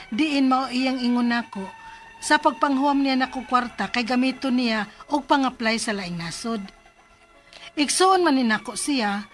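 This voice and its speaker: Actress as earnest, high-voiced younger sister. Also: female voice, fairly bright tone, lightly acted. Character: young Filipina overseas worker, patient but worried younger sister. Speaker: radio drama lead and narrator. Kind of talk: Filipino radio drama acting.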